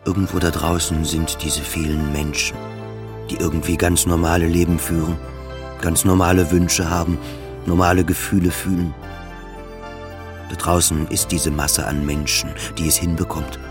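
A man's voice: sits low, level tone, normal speed, close to an everyday voice. An actor playing a self-doubting, depressed man in an intimate, brooding first-person radio play monologue. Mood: anxious, brooding. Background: music.

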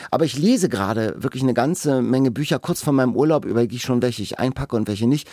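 A man's voice: mid-range voice, animated, fast, mellow, no echo. A German man with a mid-range voice talks casually as a podcast co-host. He chats and explains with a slightly playful, know-it-all streak. The sound is dry, as in a studio. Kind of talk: casual book-podcast chat.

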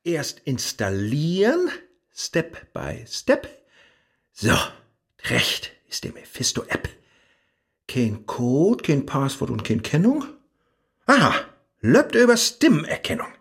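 A man's voice: a little high, wildly swooping pitch, slow, gravelly, long pauses, slightly rough, exaggerated voice. An actor playing a weary, grumbling old male scholar who craves youth and fun, impatient in tone, in a rhymed radio play.

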